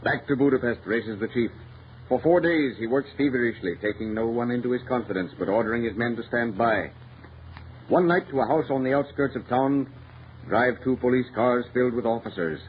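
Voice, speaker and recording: A man's voice: mid-range voice, brisk. A man delivering scripted 1930s radio mystery narration in a formal, declamatory, dramatic manner. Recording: muffled, hissy old radio recording.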